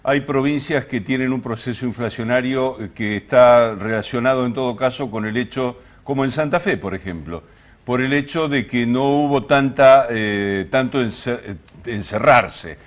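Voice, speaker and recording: An Argentine man co-hosting an interview, feeling his way through long questions with halting, roundabout phrasing. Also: medium pitch. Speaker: co-host, interviewer.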